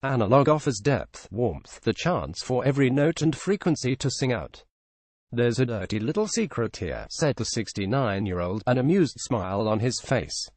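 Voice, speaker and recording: A man reading a feature article aloud as scripted narration, in a measured journalistic delivery. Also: mid-range voice, sing-song, a little mellow, no echo.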